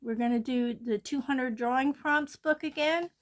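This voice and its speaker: A woman's voice: sits high, moderate pace, slight background noise. A woman hosting an art channel, chatting casually while she sketches, a little congested from allergies and often dropping to a near-whisper.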